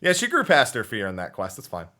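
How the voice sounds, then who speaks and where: A man on a podcast with a bright, forceful voice and a wide, lively pitch. He jokes around and laughs easily, and his pitch swings up sharply whenever he gets worked up. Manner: joking, animated, quick to laugh. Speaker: podcast guest. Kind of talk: casual chat.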